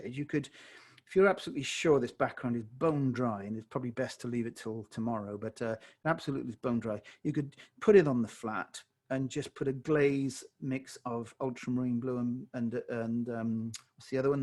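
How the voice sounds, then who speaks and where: A man with a slightly hoarse voice, teaching watercolor live on a video call and thinking aloud as he paints. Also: medium pitch. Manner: relaxed, thinking aloud while painting, self-correcting. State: slightly hoarse, losing his voice. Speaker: watercolor tutor. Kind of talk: live online painting tutorial.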